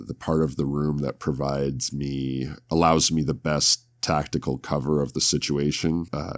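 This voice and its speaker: A man in a tabletop roleplaying podcast, speaking in a measured, deliberate way. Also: rumbling low, no room sound, studio quality. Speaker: podcast host and player.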